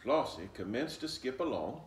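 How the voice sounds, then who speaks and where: A man doing an expressive storybook read-aloud for young children, pausing to point things out. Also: mid-range voice, slight background noise. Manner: animated, pauses to point things out. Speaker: storybook reader. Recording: roomy echo, distant mic.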